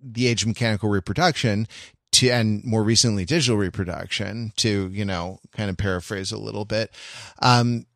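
A man co-hosting a podcast, explaining his thoughts in a halting way with many restarts. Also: fairly low pitch, vocal fry, fairly bright tone, no room sound, clean studio sound. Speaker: podcast co-host. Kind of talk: casual chat.

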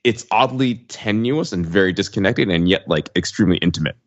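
Low-voiced man musing, slightly dull audio. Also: animated, brisk. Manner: musing, tentative, seeks agreement. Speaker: podcast co-host. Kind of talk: casual discussion.

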